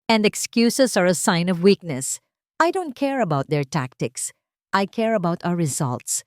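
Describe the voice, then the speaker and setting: Woman playing a cold, ruthless male boss, low-pitched. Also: sing-song, a little slow, no echo, studio quality. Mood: cold, cutting. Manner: cold, clipped, commanding.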